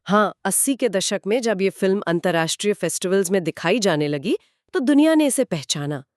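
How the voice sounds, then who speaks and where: A woman's voice: mid-range voice, animated, a little fast, no room sound, clean studio sound. A woman giving explanatory, conversational film commentary in an earnest storytelling way. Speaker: podcast co-host.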